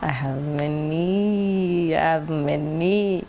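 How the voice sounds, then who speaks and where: Woman, Ugandan accent, deep low voice, storytelling guest, faint background hiss. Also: very slow, mellow. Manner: mixes Luganda and English, reflective storytelling. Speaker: singer and guest. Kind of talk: casual interview talk.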